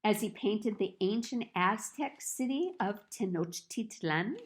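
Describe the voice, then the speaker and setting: A woman reading a children's picture book aloud in a storytelling style, with deliberate, expressive phrasing. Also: mid-range voice, a little hiss or hum.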